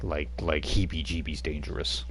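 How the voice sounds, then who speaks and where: A man's voice: rumbling low, level tone, normal speed, a little hiss or hum. A man running a tabletop roleplaying game as game master, telling the scene in a rambling, chuckling way with many fillers.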